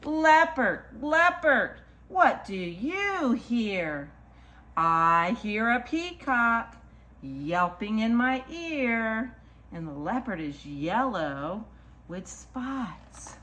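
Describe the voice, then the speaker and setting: A woman's voice: a little high, big pitch swings, sharp tone. A woman reading a picture book aloud to young children, with singsong repetition and simple asides to the listeners. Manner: slow, deliberate, singsong repetition; addresses small children directly with simple asides. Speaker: children's story time reader.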